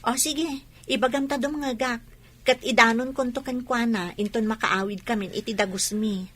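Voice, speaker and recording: A woman's voice: fairly high pitch, fairly even intonation, normal speed, a bit bright. A woman playing a sensible, cautioning young village woman in a comedy radio drama. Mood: questioning.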